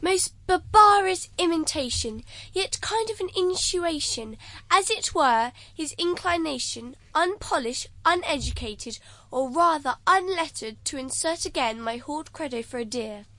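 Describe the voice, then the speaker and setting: A woman's voice: high-pitched, expressive, a little slow, pushed and bright, heavily acted, low-quality recording. A woman playing a pompous, pedantic schoolmaster in a Shakespeare performance. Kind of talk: Shakespeare stage acting.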